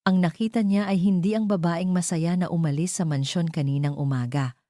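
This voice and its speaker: Low-pitched woman narrating a dramatic story. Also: a little fast, mellow, no room sound, studio quality.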